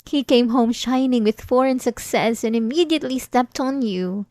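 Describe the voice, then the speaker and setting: A woman playing an obsessed young maid in a scripted ASMR roleplay, devoted and quietly menacing, with formal, composed, intimate delivery. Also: a little high, moderate pace, dry recording, lightly acted. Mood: cold, resolute.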